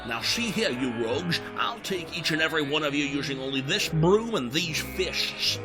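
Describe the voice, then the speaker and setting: A man's voice: on the high side, expressive, normal speed, lightly acted. A man playing a pompous, blustering washed-up spy in an audio drama. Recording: music under the voice.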